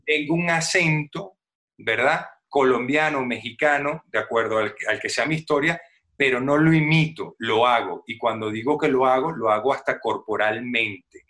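Un hombre venezolano con acento venezolano, actor invitado en una charla de podcast, que explica con detalle y de forma animada. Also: male voice, medium pitch, normal speed, a bit bright. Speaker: actor guest. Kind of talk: casual podcast interview.

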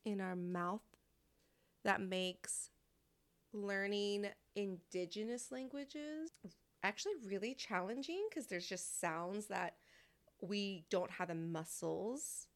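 A woman in her thirties hosting a podcast in a casual, expressive, informal conversational way. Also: mid-range voice, slow. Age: 30s. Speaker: podcast host.

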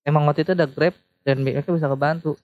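A man's voice: fairly high pitch, steady tone, racing, a little mellow. A young man in casual chat, joking and laughing often.

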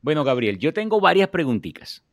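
A man's voice: a little high, very animated, fairly quick, dry recording, studio quality. A man hosting an interview, talking casually and hesitantly with many fillers and restarts. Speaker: host. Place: home in the US, dry room.

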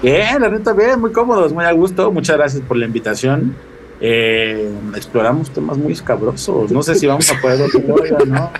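Higher-voiced Mexican man, jokey podcast guest. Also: moderate pace, crowd noise, rough recording. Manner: jokey, slangy. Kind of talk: casual chat.

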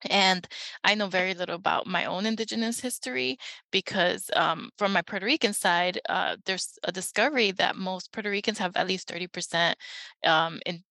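A woman from New York speaking reflectively and personally as a library guest, pausing often. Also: on the low side, gravelly, bright, forceful tone, dry recording. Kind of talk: casual panel talk.

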